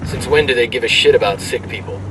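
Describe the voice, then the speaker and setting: An actor playing an irreverent, talkative soldier in a video game, casual and wisecracking. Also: male voice, medium pitch, fast, noisy background, close to an everyday voice. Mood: wry. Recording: distant-sounding, music behind.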